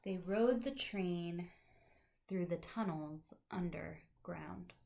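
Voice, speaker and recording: A woman teacher reading a picture book aloud to young children, with deliberate phrasing and chatty asides to her listeners. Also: lowish voice, creaky, dark tone.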